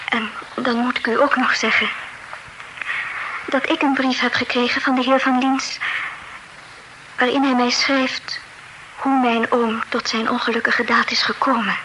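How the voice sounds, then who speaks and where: A woman playing a soft-spoken dignified young heiress. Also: fairly high pitch, level tone, natural voice. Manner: soft, often near whisper, measured. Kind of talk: old radio drama acting. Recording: noisy old radio play recording.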